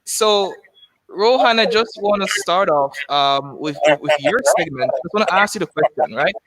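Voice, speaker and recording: A man's voice: high voice, expressive, moderate pace, fairly bright tone, dry recording, low-quality recording. A young man interviewing a guest, talking hesitantly with many fillers. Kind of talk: casual interview.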